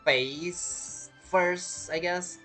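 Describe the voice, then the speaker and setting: A woman's voice: fairly low pitch, steady tone, drawn-out words, pushed and bright, faint music behind. A woman streamer giving casual reaction commentary on a game, chatty, laughing often and speaking broken English.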